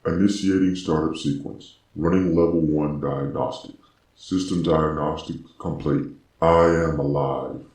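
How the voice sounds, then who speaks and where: A man voicing a cold, emotionless spaceship computer in flat, deliberate announcements, through a pitched-down robot effect with echo. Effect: pitched-down robot effect with echo or reverb.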